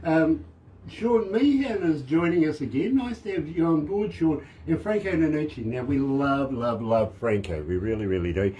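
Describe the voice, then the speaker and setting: A man's voice: on the high side, lively intonation, moderate pace, mellow, highs cut off, slight background noise. A man with a New Zealand accent hosting a radio show, enthusiastic and fond of repeating phrases for emphasis. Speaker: radio host.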